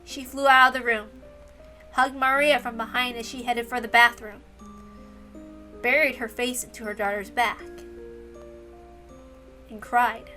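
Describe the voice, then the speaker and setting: High, bright-voiced woman narrating a story. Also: flat delivery, measured pace, long pauses, soft background music. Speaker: narrator, reader.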